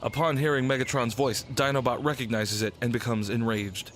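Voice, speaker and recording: A man's voice: mid-range voice, fairly even intonation, a little fast, a little hiss or hum. A man reading scripted narration for a radio drama. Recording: loud music under the voice. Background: loud music.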